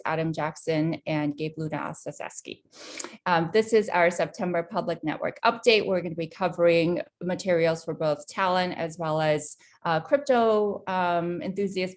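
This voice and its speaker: A woman hosting an online company update, introducing the agenda and speakers with frequent ums. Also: fairly low pitch. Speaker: head of community, moderator.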